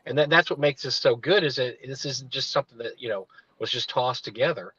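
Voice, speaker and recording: A man's voice: medium pitch, a little fast, pushed and bright, breathy edge, no echo. Talkative co-host in his car, restarts every thought, muffled stream audio. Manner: rambling, digressive, with many restarts. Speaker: livestream co-host. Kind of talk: casual chat. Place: inside a parked car.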